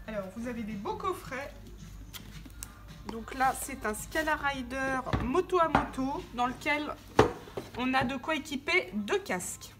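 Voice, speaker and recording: High-pitched woman presenting a tutorial. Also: lively intonation, unhurried. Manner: informal step-by-step demonstrating, talking while handling parts. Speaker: tutorial presenter for a motorcycle gear channel. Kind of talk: hands-on product tutorial, unscripted explanation. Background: background music.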